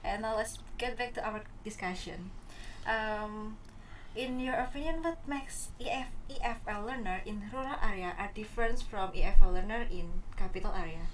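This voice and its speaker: A young woman with an Indonesian accent and a mid-range voice asks questions in English, pausing and restarting as she goes. She sounds a little distant from the mic, with faint hiss behind her. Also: mid-range voice. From West Kalimantan, Indonesia. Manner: curious, halting. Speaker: student podcast host. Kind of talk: casual chat.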